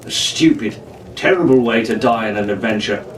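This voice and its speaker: A man's voice: fairly low pitch, normal speed. Echoey, distant male storyteller. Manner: theatrical, performed in a pirate captain persona. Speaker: storyteller reading an adventure novel aloud. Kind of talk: scripted narration, children's adventure story. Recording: echoey room, distant mic, faint hiss.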